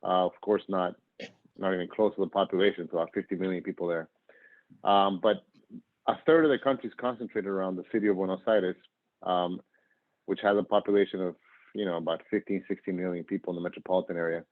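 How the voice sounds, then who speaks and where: A man's voice: deep voice, steady tone, fast talker. A man from northern Argentina talking casually as an interview guest, hesitant and digressive. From northern Argentina, moved to the US at ten. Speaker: interview guest, nonprofit executive director. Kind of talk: casual conversational self-introduction. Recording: phone-like, narrow radio-style call audio.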